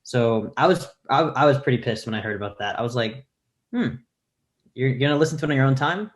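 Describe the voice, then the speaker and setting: A man's voice: mid-range voice, animated, brisk. Casual, opinionated male activist panelist. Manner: informal, acts out imagined quotes.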